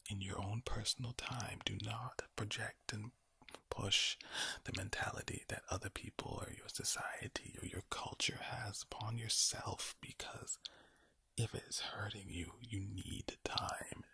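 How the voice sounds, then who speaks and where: A man doing ASMR affirmations in a low, breathy, villain-like voice, speaking deliberately and soothingly with repeated words. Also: steady tone, sharp tone, no echo. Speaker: ASMR host. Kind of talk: ASMR, whispered slow affirmations.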